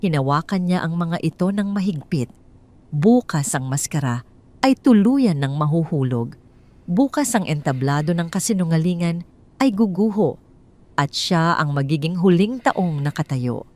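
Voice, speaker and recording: A woman reads a dramatic story in a scripted storytelling style. Her voice is very mellow and soft-edged, sitting a bit lower than most women's. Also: expressive, moderate pace.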